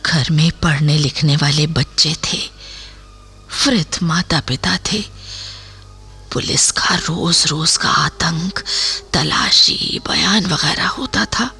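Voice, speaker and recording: A woman acting an impoverished, motherly widow in a Hindi radio play, long-suffering, with halting, plaintive phrasing. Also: deep voice, creaky, pushed and bright, slightly rough, faint music behind, full character voice. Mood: sorrowful.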